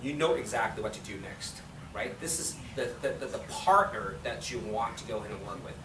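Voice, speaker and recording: A man's voice: fairly low pitch, expressive, fairly quick. A man in his forties presenting at a business seminar, upbeat and joking, who keeps saying "go ahead and". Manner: upbeat, jokey, repeats "go ahead and". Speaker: workshop presenter. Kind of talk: seminar talk and Q&A. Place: small meeting room with audience. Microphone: distant camera mic. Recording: echoey room from far off, background hum.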